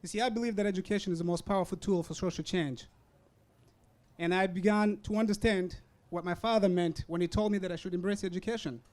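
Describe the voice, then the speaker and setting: A young man from Rwanda, a student, giving an earnest, measured public talk with some hesitations; English is not his first language. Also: high voice, fairly even intonation, a little mellow. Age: young adult. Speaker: student speaker. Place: university event tent. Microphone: hand-held or stand mic.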